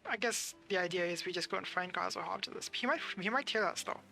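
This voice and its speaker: A man's voice: high-pitched, quick pace, gravelly, over music. A man giving casual live commentary as he plays a game, musing aloud in a hesitant way. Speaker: game streamer.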